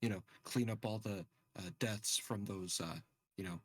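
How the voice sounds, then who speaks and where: Male gamer, fairly low-pitched, informal and halting, recorded close and dry. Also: a bit bright, no room sound. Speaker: tabletop game player. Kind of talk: casual chat.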